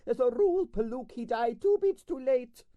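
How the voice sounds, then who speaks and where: Storybook role: vain older Russian ballerina, piercing falsetto, Russian accent, imperious and emphatic, very dry close sound. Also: male voice, expressive, moderate pace, no room sound, phone-quality sound. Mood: frank, emphatic. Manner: dramatic, emphatic, broken English.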